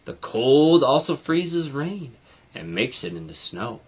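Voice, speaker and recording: A man's voice: medium pitch, very animated, takes it slowly, slightly rough, heavily acted. A man voicing a helpful, knowledgeable talking airplane radio that acts as a guide in a children's storybook. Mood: informative.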